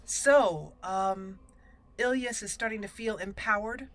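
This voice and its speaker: A woman hosting a let's play, chatting casually about her gameplay and reacting excitedly to the action. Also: medium pitch, slow, a little hiss or hum, poor audio. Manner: chatty, excitable reactions, talks to party members.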